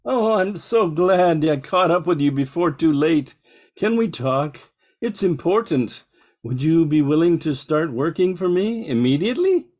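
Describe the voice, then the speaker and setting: A man acts out a harried bakery owner in a 1940s-style radio play. His voice is high and swoops up and down, earnest, polite and easily flustered. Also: expressive, unhurried, darkish tone. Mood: pleading. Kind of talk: 1940s radio drama acting.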